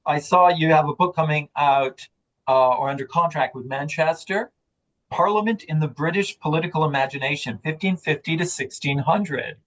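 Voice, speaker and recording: A man moderating an academic book talk, speaking in a measured, slightly halting way as he introduces the authors. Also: a little high, fairly bright tone, low-quality recording.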